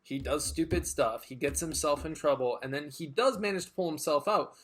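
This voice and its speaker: A man's voice: on the high side, brisk, fairly bright tone. A man co-hosting a casual chat, rambling and hesitant with lots of fillers and restarts. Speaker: podcast co-host.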